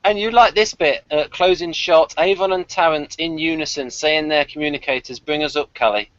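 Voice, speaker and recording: A man with a slightly high voice chats as a review host. His delivery is informal, and the sound is dry and a bit dull. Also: normal speed, fairly bright tone, no echo. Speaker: podcast host reviewing a TV series. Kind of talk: casual review chat with read-out notes.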